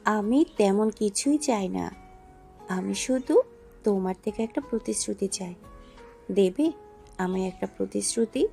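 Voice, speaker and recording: A woman voicing a young bride who pleads slowly and softly, with a slightly gravelly voice. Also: mid-range voice, animated, faint music behind, pitched above the performer's own voice. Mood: pleading.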